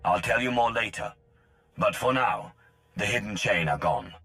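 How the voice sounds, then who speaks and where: An actor playing a menacing, imperious Sith in a video game, speaking in a terse, stern manner. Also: male voice, fairly low pitch, animated, normal speed, vocal fry, bright, forceful tone, heavily acted. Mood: curt. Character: menacing, imperious adult male Sith, cold and commanding. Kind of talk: video game dialogue acting. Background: music.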